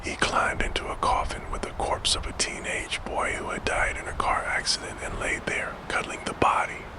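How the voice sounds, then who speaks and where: A man narrating a scripted story in a hushed, gentle ASMR whisper, as the host of a sleep storytelling show. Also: moderate pace. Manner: hushed, gentle, soothing delivery. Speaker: host and narrator of a true crime sleep channel.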